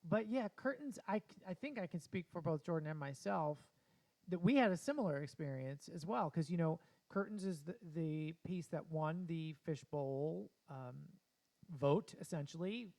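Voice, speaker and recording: A man co-hosting a casual theater interview, talking with enthusiastic, drawn-out emphasis. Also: fairly high pitch, animated, vocal fry, a little mellow, low-quality recording. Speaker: co-host.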